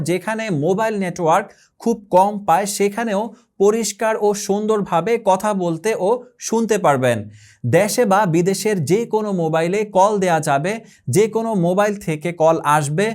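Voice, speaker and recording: A man with a Bangladeshi accent delivers scripted advertising narration. His voice is notably high for a man. He speaks formally, setting out items in short, measured phrases like an announcer. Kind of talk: scripted product promo narration.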